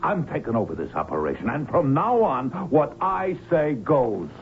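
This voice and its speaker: A man's voice: mid-range voice, big pitch swings, moderate pace. An actor playing a tough, cynical hired gunman in an old radio drama, talking in blunt, folksy slang. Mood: defiant. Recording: tinny band-limited old radio recording, faint noise.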